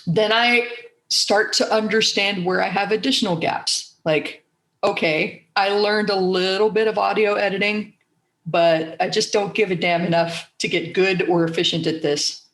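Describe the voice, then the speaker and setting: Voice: mid-range voice, fairly even intonation, moderate pace, fairly bright tone. A podcast host with a soothing voice chatting candidly and animatedly, swearing casually now and then. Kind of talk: casual conversation. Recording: echoey room.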